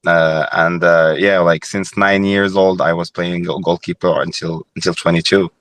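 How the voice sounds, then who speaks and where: A man's voice: deep voice, level tone, normal speed, fairly bright tone, a little hiss or hum. A young man from Morocco, a former goalkeeper, talking thoughtfully as a podcast guest, with frequent fillers and restarts. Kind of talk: reflective podcast interview.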